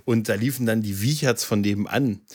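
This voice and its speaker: A man's voice: on the low side, brisk. A man in a casual chat, telling anecdotes colloquially and often tagging his sentences with little checking questions. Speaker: podcast co-host.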